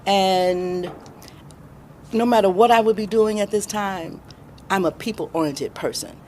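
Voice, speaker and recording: A middle-aged American woman answering interview questions as a guest, reflective and deliberate, pausing for emphasis. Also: mid-range voice, a little mellow, slight background noise. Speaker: interview guest, independent filmmaker.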